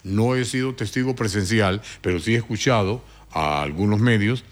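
A man hosting a morning radio talk show, commenting with indignant emphasis and sudden rises in pitch. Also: moderate pace.

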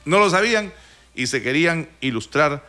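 A man's voice: a little high, animated, normal speed, sharp tone. A man from Honduras with a Honduran Spanish accent, hosting a casual radio talk show and telling stories with many restarts and fillers. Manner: rambling, storytelling with frequent restarts and fillers.

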